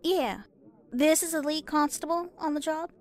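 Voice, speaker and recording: A woman voicing a nosy, pushy female meerkat journalist who talks in a sharp, sarcastic way. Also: high voice, moderate pace, voice pushed up, natural voice. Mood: snide.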